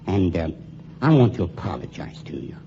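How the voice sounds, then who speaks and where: A man's voice: deep voice, very animated, normal speed, vocal fry, dark tone, exaggerated voice. An actor playing a suave, menacing male undertaker in a 1940s radio drama, coldly polite and drawn out. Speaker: funeral home owner. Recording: muffled 1940s radio broadcast with faint hiss.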